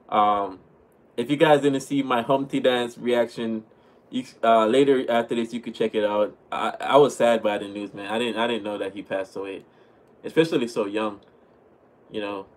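Young Jamaican-accented man, laid-back streamer, mid-pitched voice, webcam sound in a small home room. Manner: relaxed, chatty, thanks viewers often. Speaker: livestream host reading chat. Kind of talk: casual livestream chat. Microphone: webcam at desk.

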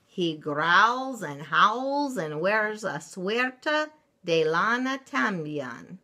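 A woman's voice: medium pitch, sing-song, extremely slow, sharp tone, pitched above the performer's own voice, heavily acted. A woman voicing a worried chihuahua gang leader in a storybook, exclaiming with Spanish words mixed in.

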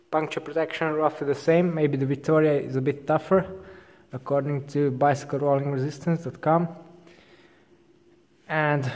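A young man in a casual vlog, explaining his bike gear in a relaxed, informal way. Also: fairly high pitch, big-room sound. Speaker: vlogger. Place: large indoor bike workshop.

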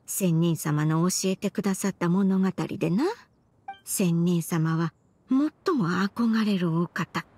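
A woman's voice: lowish voice, slow pace, mellow, husky, dry recording. An actress playing a remorseful old grandmother in a Japanese game, confessing her past in an archaic elderly way of speaking. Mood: wistful, reflective. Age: older. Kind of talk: Japanese game voice acting, dramatic monologue.

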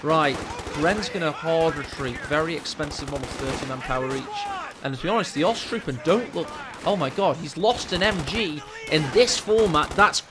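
A deep-voiced man gives lively, joking live commentary on a strategy game from a small room at home. His voice sits a little high for a man. Gunfire and battle sounds from the game run clearly beneath his words. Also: moderate pace, hardly any pauses, background noise. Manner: excitable, jokey, rising with the action. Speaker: game caster. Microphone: desk microphone. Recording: game sound effects under the voice. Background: game gunfire and ambience.

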